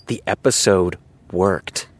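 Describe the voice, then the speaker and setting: A frank tv writer in a podcast drama, lowish voice, dry sound, wry n conversational. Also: male voice, steady tone, slow pace, no echo. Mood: rueful, confiding. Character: candid male TV showrunner, wry and self-deprecating. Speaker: television writer character. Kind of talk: naturalistic audio drama dialogue.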